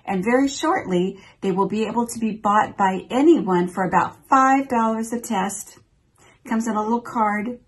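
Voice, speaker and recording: A woman's voice: medium pitch, normal speed. Upbeat older woman giving cruise news. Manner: upbeat, newsy delivery reading from notes. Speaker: travel vlogger. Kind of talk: scripted cruise news update. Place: small home office, indoors.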